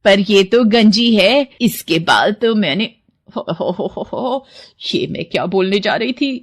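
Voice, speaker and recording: A woman voicing a scheming, greedy, cruel stepmother in an animated fairy tale, with a conniving, exclaiming delivery. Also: mid-range voice, a little slow. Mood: alarmed.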